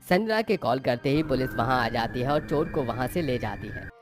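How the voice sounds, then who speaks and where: Male narrator for scripted storytelling. Medium pitch, faint music bed. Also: animated, normal speed.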